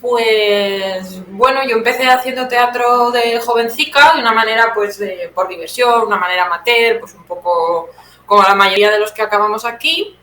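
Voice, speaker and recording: A woman's voice: fairly high pitch, moderate pace, sharp tone, echoey room, a little hiss or hum, poor audio. A woman in her thirties with an accent from Spain, talking casually and reflectively as an interview guest, often adding tag questions. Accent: Spanish, from Spain. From Teruel, Spain. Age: 30s.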